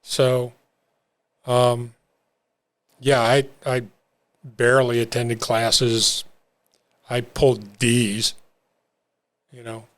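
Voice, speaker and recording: An older man telling his own recovery story in an interview, talking haltingly with many fillers and restarts. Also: medium pitch, level tone. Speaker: interview guest. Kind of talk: reflective personal story.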